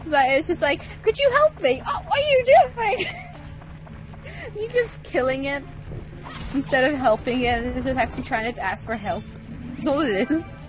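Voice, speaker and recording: A woman's voice: high voice, expressive, moderate pace, faint music behind, low-quality recording. A woman chatting casually and playfully over a video game, giggly, often acting out silly little lines. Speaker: co-commentator on a game playthrough. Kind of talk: casual gameplay chat.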